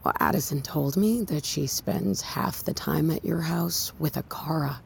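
Creaky-voiced, fed-up estranged wife. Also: female voice, sits low, a little slow, a little hiss or hum, full character voice. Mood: exasperated. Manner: blunt, exasperated. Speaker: actor in an audio drama. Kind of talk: naturalistic audio drama acting.

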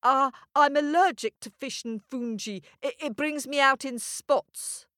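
A woman voicing a young boy who answers in a high, hesitant, polite way. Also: unhurried, bright, forceful tone, no echo, clean studio sound. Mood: politely nervous.

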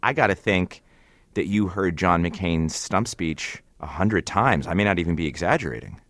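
Man, interview host, low pitch, conversational broadcast delivery, dry sound. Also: moderate pace, fairly bright tone, no echo.

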